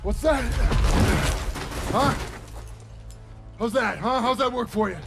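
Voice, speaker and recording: A man playing a hard-bitten, bullying American army sergeant in a war game, gruff, sarcastic and swearing. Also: very high voice, takes it slowly, exaggerated voice. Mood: angry, menacing. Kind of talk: video game cutscene acting. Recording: battle soundtrack music, vehicle noise behind.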